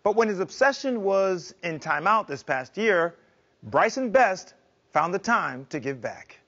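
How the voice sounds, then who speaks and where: A middle-aged man hosts a talk show from a studio, his voice sitting high for a man. He sounds keen and encouraging with his guests. The audio is a little dull. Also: very animated, measured pace, a bit bright, slight background noise. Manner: enthusiastic, encouraging. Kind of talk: upbeat talk show interview. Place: TV studio set.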